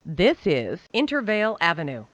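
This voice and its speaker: A woman giving recorded train announcements in a measured, formulaic announcer delivery. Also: on the low side, very animated, a bit bright, no echo. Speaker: transit announcer.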